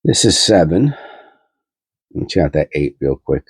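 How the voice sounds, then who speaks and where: A man's voice: very deep voice, very animated, normal speed, husky, no room sound. A man with a stuffy, nasal cold, hosting his coin-hunting channel and rambling aloud with many fillers as he sorts pennies. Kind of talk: casual coin roll hunting commentary.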